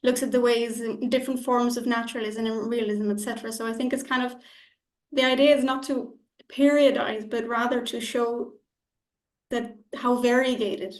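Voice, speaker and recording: Female academic editor, fairly high voice, careful explanatory delivery, very roomy sound. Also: steady tone, normal speed. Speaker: academic journal issue editor. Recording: echoey room sound.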